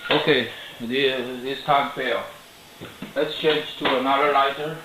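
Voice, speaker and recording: Guy doing a diy repair demo, really slow n careful, roomy echo, bit of noise. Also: medium pitch, steady tone. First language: not English. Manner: halting, careful, step-by-step. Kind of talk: unscripted how-to narration. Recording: echoey room, light noise, clicks.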